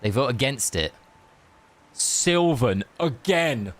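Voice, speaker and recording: A man's voice: mid-range voice, expressive, takes it slowly, a little hiss or hum. A man streaming a game, reading story text aloud with sarcastic, joking asides.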